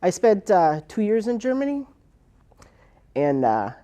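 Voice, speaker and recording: Rambling, mellow-voiced woman veteran. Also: mid-range voice, big pitch swings, a little slow. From United States. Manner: rambling, with many fillers and restarts. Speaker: Air Force veteran interviewee. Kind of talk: personal oral history interview.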